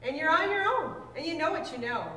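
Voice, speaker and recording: A woman reading a children's book aloud to a class, with expressive, deliberate storybook phrasing. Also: high voice. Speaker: teacher reading aloud to sixth grade students. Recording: echoey hall, distant mic, faint noise.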